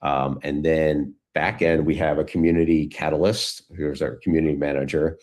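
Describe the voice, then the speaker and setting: A man's voice: a bass voice, level tone, normal speed, a little mellow. A man answering questions in a casual, informal way, thinking aloud as he goes. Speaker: guest speaker, community founder.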